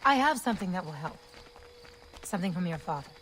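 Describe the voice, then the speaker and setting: A tough protective warrior mom in a video game, urgent and emphatic, pitch swings a lot. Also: female voice, very animated, fast, a bit bright, slight background noise, rough recording. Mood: grave. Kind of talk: video game cutscene acting.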